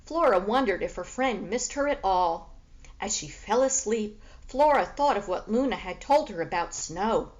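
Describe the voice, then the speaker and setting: A woman reading a children's book aloud as expressive storybook narration. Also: mid-range voice, moderate pace, lo-fi sound.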